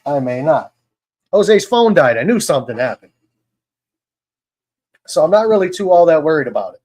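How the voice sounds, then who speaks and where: A man hosting a casual live stream about fishkeeping, talking in a relaxed, rambling way with many fillers. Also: on the high side, moderate pace. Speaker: host.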